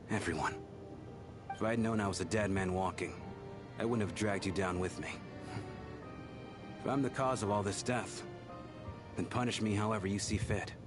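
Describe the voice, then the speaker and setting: An actor playing the remorseful ghost of a devoted bodyguard in a video game, earnest, wistful and self-effacing. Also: male voice, lowish voice. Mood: anguished resolve. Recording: game music behind the voice.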